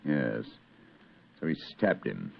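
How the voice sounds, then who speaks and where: An actor playing a brilliant, commanding detective in a clipped, dramatic old radio drama style. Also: male voice, extremely low pitch, very animated, slow pace, gravelly, breathy edge, muffled recording, heavily acted. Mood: explaining, confident. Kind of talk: 1940s radio drama acting.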